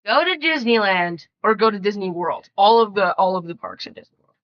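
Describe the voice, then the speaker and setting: A woman's voice: mid-range voice, animated, brisk, muffled recording. A female speaker reading out quiz questions in a casual podcast style.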